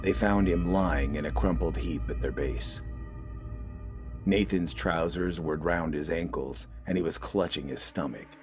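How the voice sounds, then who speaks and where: A man's voice: sits low, a little slow, music in the background. A man reading scripted, dramatic fiction as an audiobook narrator.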